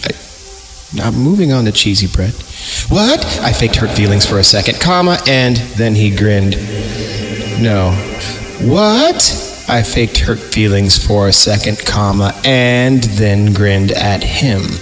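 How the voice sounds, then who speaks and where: A man's voice: lowish voice, moderate pace, fairly bright tone. A man hosting a horror podcast, reading stories aloud and breaking off for sarcastic, improvised jokes, with music behind him. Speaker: podcast host and reader. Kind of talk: creepypasta reading with joking asides.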